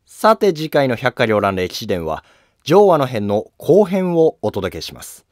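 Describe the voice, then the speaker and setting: A man's voice: mid-range voice, very animated, unhurried, dry recording, studio quality. A man reading scripted narration as the narrator of a series.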